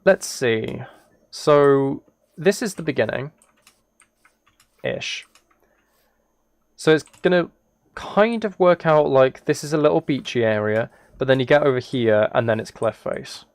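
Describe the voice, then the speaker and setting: A man chatting casually as a live game streamer, musing aloud in a relaxed, low-key way. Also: a little slow, pauses often, no echo. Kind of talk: casual chat over Minecraft gameplay.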